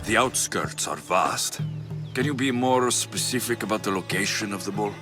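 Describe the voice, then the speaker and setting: An actor playing a blunt, dutiful Greek mercenary in a video game, speaking tersely with a Greek accent. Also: male voice, on the low side, animated, moderate pace, natural voice. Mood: earnest. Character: gruff, blunt Greek mercenary man, impatient but dutiful. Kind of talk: video game cutscene acting. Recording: loud music behind.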